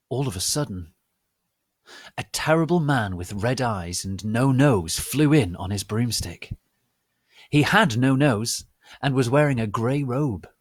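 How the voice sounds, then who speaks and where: A man hosting a dramatic reading, theatrical and mock-solemn, speaking deliberately with pauses. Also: medium pitch, breathy edge, no echo, clean studio sound. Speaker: host and reader.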